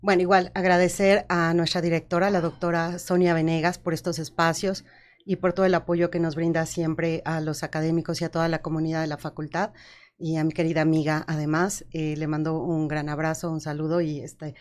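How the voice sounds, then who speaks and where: A woman's voice: lowish voice, a little mellow. A woman, a judge and academic speaking formally and courteously as a panel guest, laying out her career methodically. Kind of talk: panel talk.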